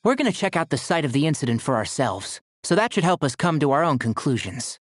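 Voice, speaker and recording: Composed young doctor, lively intonation. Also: male voice, a little high, no echo, studio quality. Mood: calm, caring. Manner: polite, measured, wry. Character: gentle, composed young male doctor with dry wit. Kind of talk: video game voice acting. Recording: music playing behind the voice.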